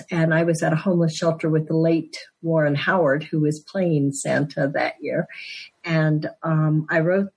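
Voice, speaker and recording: Woman in her sixties, husky low voice, earnest podcast guest. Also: darkish tone, rough recording. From Denver, Colorado. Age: 60s. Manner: earnest storytelling, quick laughs. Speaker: guest, author and Christmas elf. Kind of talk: conversational podcast interview.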